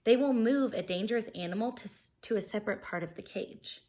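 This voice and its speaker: A woman's voice: mid-range voice, fairly quick, a little mellow. A woman teacher reading a children's picture book aloud expressively, explaining things simply and putting questions to her young listeners.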